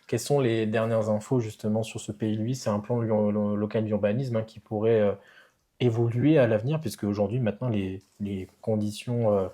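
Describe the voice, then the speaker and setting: A man interviewing a local candidate, asking halting questions full of fillers. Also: lowish voice, steady tone, dark tone.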